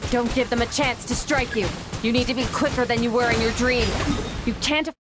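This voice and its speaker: A woman playing a stern, composed warrior master in a video game, speaking in a formal, commanding and measured way. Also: fairly high pitch, steady tone, over music, close to an everyday voice. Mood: urgent, commanding. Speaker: video game character, combat mentor. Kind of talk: scripted video game voice acting.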